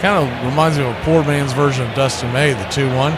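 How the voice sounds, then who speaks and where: A man's voice: mid-range voice, fairly even intonation, a little fast, noisy background. A man calling live baseball play-by-play, conversational, with clipped calls and wry asides. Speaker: play-by-play radio announcer.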